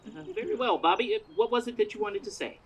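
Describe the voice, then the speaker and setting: Lady acting as nervous bumbling radio reporter guy, lively pitch, lots of hesitation, bit of noise outdoors. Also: fast, lightly acted, low-quality recording. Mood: awkward, formal. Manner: hesitant, stumbling, awkwardly filling time. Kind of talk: comedic radio play stage acting. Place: outdoors in a parking lot performance. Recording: outdoor stage with noise behind.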